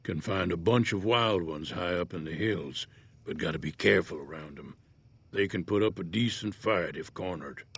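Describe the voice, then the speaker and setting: An actor playing an easygoing older American prospector in a Western-style video game, folksy and laconic in manner. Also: male voice, low voice, moderate pace, vocal fry, a bit bright, slightly rough, full character voice. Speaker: game character, townsfolk prospector. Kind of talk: video game character dialogue.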